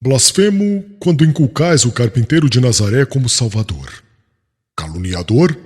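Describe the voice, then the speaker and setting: Guy playing proud severe accuser, formal old-time speech, husky, big echoey hall, lively delivery. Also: mid-range voice. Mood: accusing. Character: stern, proud male religious prosecutor, young and scornful. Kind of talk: dramatized audiobook acting, archaic formal speech. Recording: long hall reverb, slightly husky sound.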